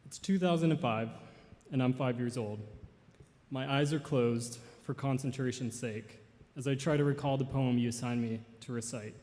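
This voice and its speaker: A man's voice: mid-range voice, a little mellow, a little hiss or hum. Earnest young man giving a eulogy. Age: 20s. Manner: earnest, measured recitation. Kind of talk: memorial tribute and poetry reading. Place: large church hall. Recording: long hall reverb.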